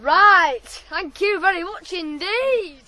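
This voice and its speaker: An actor playing a brash, defiant young ploughboy in a radio drama, blunt and insolent. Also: male voice, extremely high pitch, expressive, slow pace, sharp tone, heavily acted. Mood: angry, defiant.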